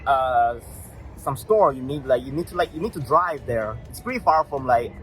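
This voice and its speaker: Young Malaysian guy, native Mandarin speaker, casual guest with a mid-pitched voice and lots of restarts, music under. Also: animated, fairly bright tone, low-quality recording. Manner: halting, with many restarts and fillers. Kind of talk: casual conversation in English.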